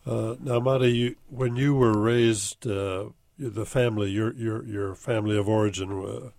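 Low-voiced male radio host. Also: steady tone, creaky, a little mellow, no room sound, clean studio sound. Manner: halting, rephrasing questions. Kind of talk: call-in radio interview.

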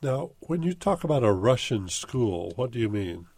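A man hosting a call-in radio show, asking guests questions in a halting way and taking calls. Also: medium pitch, very animated, a little mellow, breathy edge, no echo.

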